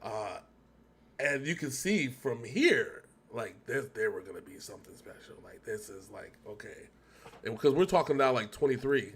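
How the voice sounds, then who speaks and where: A man's voice: medium pitch, expressive, normal speed, breathy edge. A man chatting casually as co-host of a reaction show, rambling and often correcting himself as he goes. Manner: rambling, talkative, self-correcting.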